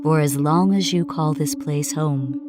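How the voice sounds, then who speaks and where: A woman performs a middle-aged male building manager in an audio horror story. He is smooth and polite, pitched down, with a slow, gentle delivery that never gives ground. Music plays clearly behind him. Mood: calm, firm.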